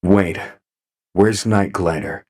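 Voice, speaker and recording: Guy doing robot captain, kinda deep, steady, in charge, audio drama. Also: slow. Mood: concerned. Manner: authoritative, controlled. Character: steady, protective robot commander of the crew.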